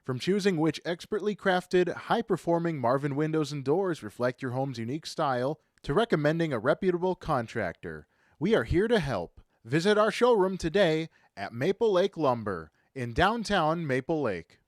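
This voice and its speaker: A man's voice: fairly high pitch, very animated, normal speed, no echo, studio quality. An American man reading scripted local radio commercials as an announcer. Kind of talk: scripted ad read.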